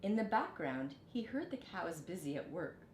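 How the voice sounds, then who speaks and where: A woman's voice: lowish voice, sing-song, normal speed, darkish tone. A woman teacher narrating a storybook to children in an explanatory, questioning manner. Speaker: teacher reading aloud. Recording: roomy echo, background noise.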